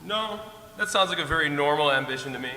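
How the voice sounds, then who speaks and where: An actor playing a brisk, formal US Navy commander on a space voyage in a comic radio play. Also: male voice, on the high side, animated, sharp tone, heavily acted. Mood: dry, polite. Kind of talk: comic radio drama acting. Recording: echoey hall, long reverb, distant.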